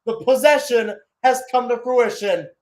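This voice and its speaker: A man's voice: extremely high pitch, measured pace. A man doing live radio-style soccer commentary as the play-by-play announcer, narrating in short clipped phrases.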